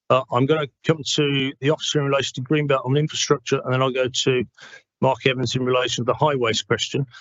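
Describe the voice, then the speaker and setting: A man's voice: mid-range voice, a bit bright, dry recording. A man chairs a council planning meeting, keeping the discussion on track in a firm, procedural way. His voice comes through a dull, dry meeting microphone. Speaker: committee chair. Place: council chamber.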